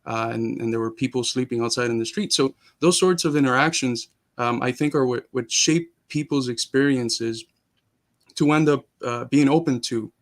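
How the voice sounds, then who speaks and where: A man's voice: mid-range voice, normal speed. A young man, born in Cuba and raised in Miami, talking earnestly as a guest in a casual interview, with frequent restarts. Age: young adult.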